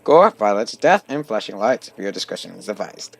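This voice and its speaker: A man's voice: on the low side, sing-song, moderate pace, creaky. A man hosting a reaction video with casual, joking commentary and informal interjections.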